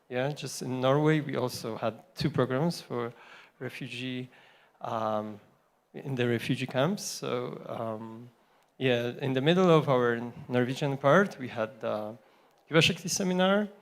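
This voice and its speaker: A man telling an audience about a tour, speaking slowly and hesitantly with many fillers, into a handheld mic on stage. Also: mid-range voice. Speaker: tour member giving a report on stage. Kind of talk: casual on-stage talk to a live audience.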